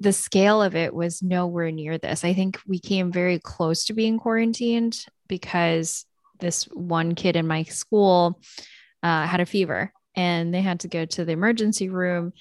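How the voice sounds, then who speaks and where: Woman host in her 30s, lower voice, dry close sound, relaxed and laughing. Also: dry recording. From China and the United States. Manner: relaxed, laughs often, many fillers. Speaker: podcast host. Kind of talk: casual podcast conversation.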